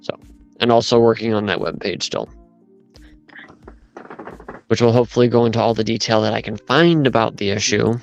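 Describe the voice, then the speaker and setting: A man's voice: fairly low pitch, a little fast, gravelly, pauses often, highs cut off, soft background music. A man in casual chat, rambling as he retells events with lots of asides.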